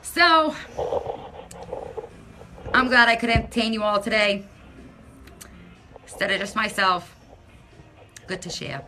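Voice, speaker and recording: A middle-aged woman from Massachusetts with a non-rhotic local accent, chatting casually on a phone live stream from her home, loud and talking to viewers by name. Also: medium pitch, lively intonation, slow pace, long pauses, music in the background, low-quality recording. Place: home, by a window. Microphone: phone held at arm's length.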